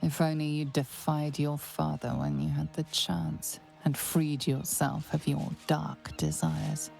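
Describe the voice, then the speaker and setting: A grave fantasy game narrator, speaks low with a heavy creak over prominent music. The delivery is slow, portentous and ominous, like a storyteller of dark fate. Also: female voice, flat delivery, exaggerated voice. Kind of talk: scripted dramatic game narration.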